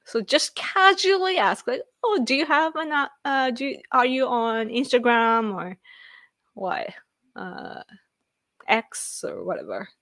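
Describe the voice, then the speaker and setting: A woman's voice: on the high side, animated, unhurried, a bit bright, dry recording, studio quality. A Japanese woman in her late thirties with a Japanese accent, chatting casually on a live stream and laughing often as she answers viewers. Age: late 30s. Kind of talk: casual chat.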